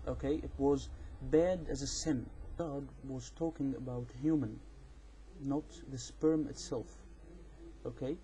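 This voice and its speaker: A man with an Egyptian accent, a native Arabic speaker, giving an informal explanatory rebuttal in a halting, repetitive, insistent way. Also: medium pitch, mellow, a little hiss or hum, poor audio. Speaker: amateur video responder on religion.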